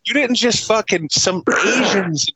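A man's voice: high-pitched, a little slow, over a noisy crowd, rough recording. A man co-hosting a podcast in casual chat, swearing freely and emphatically in exasperation as he rants through a movie review. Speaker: podcast co-host.

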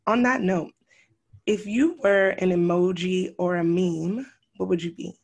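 A host chatting casually, warmly affirming the guest and asking questions. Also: mid-range voice, steady tone, measured pace, vocal fry. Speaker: podcast host. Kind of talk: casual interview chat.

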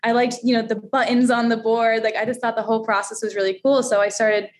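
A woman's voice: a little high, level tone, fast talker. A young adult woman from Florida, a radio host, chatting bubbly and laughing often in a casual interview. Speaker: radio host and steel drum player.

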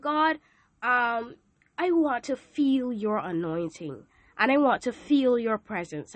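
A woman's voice: on the high side, fairly bright tone, dry recording. Nasal teen Barbadian girl with a cold. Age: teens. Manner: chatty, playful, asks listeners questions. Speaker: podcast host. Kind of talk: casual devotional podcast talk. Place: hot room with a fan.

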